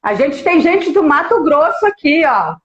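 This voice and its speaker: A high-pitched woman from Minas Gerais, Brazil, talks as a guest in a live stream. She is chatty and friendly, stopping to greet viewers by name. Her voice comes over a video call that sounds roomy and echoey, with a dull, muffled tone. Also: sing-song, a little slow, a crowd in the background. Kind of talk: casual live-stream chat.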